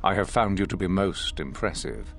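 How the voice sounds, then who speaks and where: A deep, gravelly Sith master. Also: male voice, animated, music in the background. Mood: approving, imperious. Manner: measured, formal, deliberate. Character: stern, appraising male Sith academy master. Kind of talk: video game character acting.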